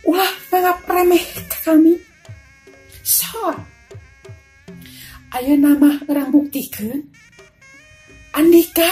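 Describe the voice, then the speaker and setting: A woman performs a proud young warrior maiden in a storybook tale. Her high voice moves very slowly and deliberately, full of defiance, over faint music. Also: mellow.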